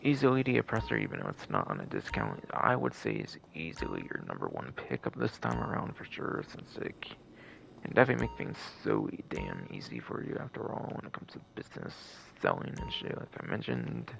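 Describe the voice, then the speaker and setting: A man's voice: extremely low pitch, huge pitch swings, a little fast, gravelly, poor audio. A man giving casual live game stream commentary in a rambling, repetitive way full of filler words, with some casual swearing.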